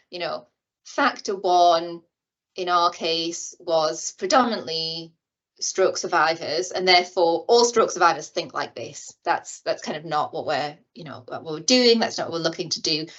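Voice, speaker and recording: A woman explains research methods at length over a video call, leaning on "you know" and "kind of" as she goes. Her voice sits on the lower side. The sound is dull and muffled. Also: a bit bright. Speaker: invited expert. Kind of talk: online seminar explanation.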